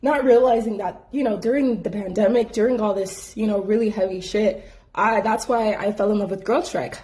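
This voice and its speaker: A woman hosting her own show, casually telling a personal story in slangy speech full of "like". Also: medium pitch. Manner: slangy, frequent "like" fillers, animated. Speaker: host.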